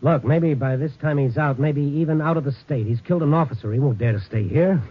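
Fast, clipped actor as a tough American newspaperman. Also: a little high, close to an everyday voice. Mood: firm, impatient. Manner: brisk, clipped, insistent questioning. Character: tough, persistent Chicago newspaper reporter, adult man. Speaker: lead actor and narrator. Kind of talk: 1950s radio drama acting. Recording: dull, noisy old radio recording.

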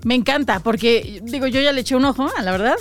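Lady radio host, really high voice, excited chatty, laughs a lot, studio mic. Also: quick pace, music in the background. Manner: enthusiastic, laughs often. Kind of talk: casual radio chat.

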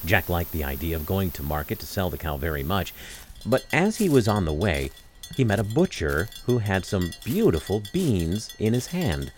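A man's voice: sits low, a little mellow, a little hiss or hum. A man narrating a scripted fairy tale as a storyteller.

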